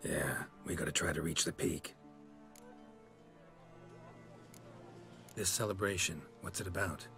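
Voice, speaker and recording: Gruff-mannered monster hunter, deep voice, short flat lines, video game. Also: male voice, brisk, soft background music. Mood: curt, probing. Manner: clipped, terse, deadpan. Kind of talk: fantasy video game acting.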